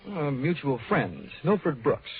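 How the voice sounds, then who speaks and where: An actor playing a hard-boiled, wisecracking insurance investigator with an American accent, wry and cool, in a mid-century radio detective style. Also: male voice, on the high side, very animated, unhurried. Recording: muffled old-time radio recording with music.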